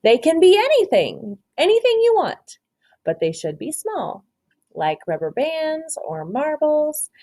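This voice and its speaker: A woman's voice: high voice, huge pitch swings, a little slow, mellow, voice pushed up, full character voice. Woman reading as upbeat male schoolteacher, very lilting. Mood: instructing. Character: male first-grade teacher giving cheerful instructions.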